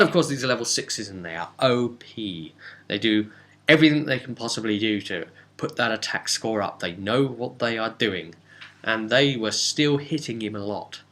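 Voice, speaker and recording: A man casually recapping a tabletop role-playing session as game master, telling it like a story with halting asides. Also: mid-range voice, bright, forceful tone.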